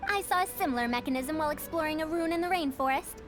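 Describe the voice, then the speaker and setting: A woman voicing a proud, excitable young-sounding scholar with theatrical, old-fashioned phrasing in a game. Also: high voice, fast, music in the background, natural voice. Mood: composed, explanatory.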